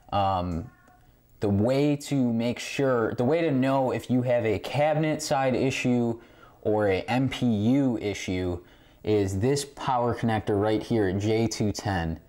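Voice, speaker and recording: A man's voice: lowish voice, slow pace, dark tone. A man giving a casual hands-on repair tutorial as host, recorded indoors on a camera mic a short distance away. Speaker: host of pinball repair videos. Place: indoor game room among arcade machines. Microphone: camera on tripod, a short distance away. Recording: camera mic with clear background noise, clicks.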